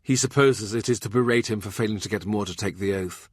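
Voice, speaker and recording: A man's voice: on the low side, fast talker, dry recording. Dry-recorded male audiobook narrator. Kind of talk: scripted literary narration of a historical novel.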